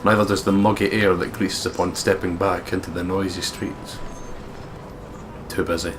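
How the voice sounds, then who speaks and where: A man's voice: low voice, level tone, moderate pace, background noise. A man from the United Kingdom hosting a let's play, reading a visual novel's narration aloud with casual asides. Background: game music.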